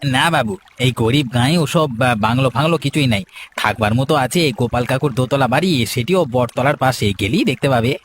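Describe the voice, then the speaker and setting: A man's voice: mid-range voice, brisk, slight background noise, lightly acted. A man voicing a humble, helpful village boatman in a cartoon, punctuating his talk with short interjections. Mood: friendly, obliging. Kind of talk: Bengali cartoon voice acting.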